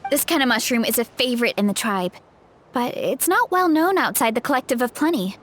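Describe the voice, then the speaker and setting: A woman voicing a sweet, modest young farm woman in a video game, speaking in a gentle, friendly, reassuring way. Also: fairly high pitch, expressive, brisk. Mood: cheerful, inviting. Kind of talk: animated video game voice acting. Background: soft background music.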